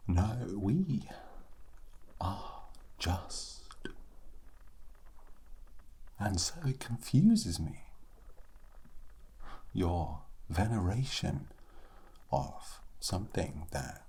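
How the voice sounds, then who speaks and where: A performer voicing a sassy undead immortal in an ASMR roleplay monologue, halting and sardonic, often slipping into a breathy whisper. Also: low voice, warm tone, slight background noise, full character voice. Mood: scornful musing. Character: sassy undead immortal, musing and scornful of mortals.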